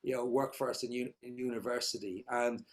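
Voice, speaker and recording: A man's voice: medium pitch, little pitch movement, fairly quick. Rambling middle-aged Irish man. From Ireland. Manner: rambling, many fillers and restarts. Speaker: company founder, guest. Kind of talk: casual interview answers.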